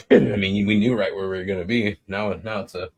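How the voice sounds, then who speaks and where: Low voiced guy on a podcast, just chatting, kinda halting and rewording stuff. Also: steady tone, creaky. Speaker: podcast panelist. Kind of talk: casual chat.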